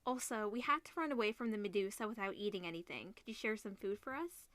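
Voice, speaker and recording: A woman's voice: medium pitch, fast talker, a bit bright, dry recording, lightly acted. A woman voicing an earnest, polite student survivor in a visual novel, a little hesitant. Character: earnest student pleading for cooperation and food.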